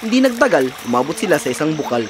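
Lively fairy tale storyteller. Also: medium pitch, racing, over music. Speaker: storyteller narrator. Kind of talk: scripted fairy tale narration.

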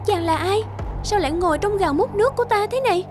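A woman playing a gentle, anxious and loving young fairy in an animated fairy tale. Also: very high voice, quick pace. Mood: startled, anxious. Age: young adult. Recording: music behind the voice.

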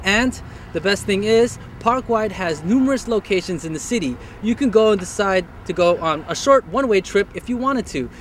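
A man's voice: high-pitched, expressive, normal speed, a little hiss or hum. A young man co-hosting a TV segment outdoors, delivering upbeat scripted lines. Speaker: TV host. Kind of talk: scripted presenting. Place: outdoors at a bike rental lot.

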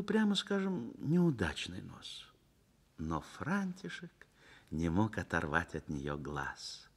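A man narrating a Soviet radio play in a literary, dramatic style, with measured phrasing and expressive pauses. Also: medium pitch.

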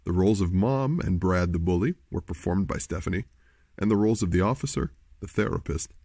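A man with a gravelly, husky voice reading scripted credits as an announcer. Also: low voice, a little mellow, no echo, studio quality. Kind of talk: scripted narration.

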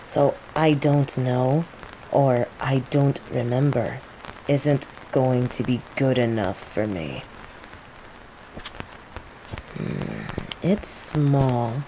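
A woman playing a cocky, teasing female mage who controls plants, in a scripted roleplay audio drama, with an unhurried, playful drawl. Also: very deep voice, exaggerated voice, low-quality recording. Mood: cool, probing. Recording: steady rain ambience noise. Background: falling rain.

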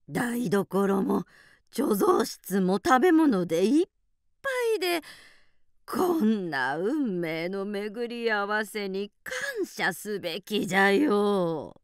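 A woman playing a bossy, scolding old grandmother field mouse in a radio drama, with drawn-out, folksy elderly speech. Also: mid-range voice, no room sound, clean studio sound.

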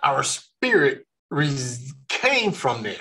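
A man's voice: medium pitch, very animated, creaky, bright, forceful tone. A man from California, hosting a faith discussion, talking earnestly with many restarts. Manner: slow, earnest, with frequent restarts. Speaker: ministry discussion host.

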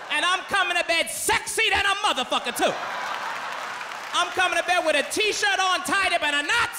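Male American comic, loud and forceful, working a big echoey theater on handheld mic, light crowd behind. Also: very high voice. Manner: loud, animated, profane delivery. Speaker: stand-up comedian. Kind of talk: stand-up comedy routine. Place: large theater stage with live audience. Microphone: handheld microphone. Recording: echoey theater PA, audience noise.

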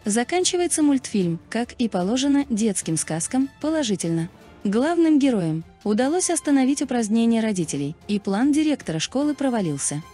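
A woman's voice: fairly high pitch, very animated, fairly quick, dark tone, breathy edge. A woman reading a scripted explainer narration as a narrator. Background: background music.

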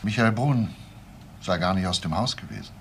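Calm police inspector, really low voice, short deliberate lines, noisy radio play recording. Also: male voice. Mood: calm, probing. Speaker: police detective. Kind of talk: German crime radio drama acting.

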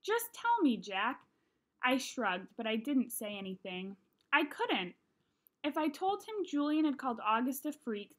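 Young female read-aloud narrator for a children's novel, slightly high register, home setting. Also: fairly bright tone. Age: young adult. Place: small room at home.

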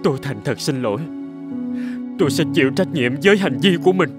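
A man's voice: fairly high pitch, animated, quick pace, music in the background, lightly acted. A man voicing a greedy cartoon schemer who pleads like an ashamed sinner. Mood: remorseful. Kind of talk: dubbed cartoon morality-tale dialogue.

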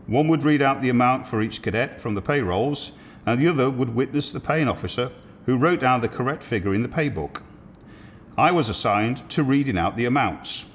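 A man in his eighties from Liverpool, an army veteran, reading a personal memoir aloud at a measured, steady pace. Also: medium pitch, big-room sound, slight background noise. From Liverpool, England. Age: 80s. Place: indoor museum room with military equipment.

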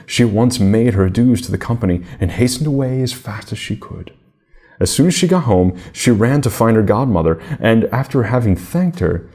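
A man in his thirties reading a fairy tale aloud as storybook narration, in a measured, deliberate way with formal diction. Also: lowish voice, mellow.

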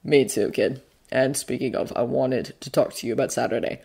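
A fond male guardian from an audio story, played by a woman narrator. The voice drops low and stays understated, with dry teasing and a gruff kind of affection. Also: normal speed, a little mellow, full character voice.

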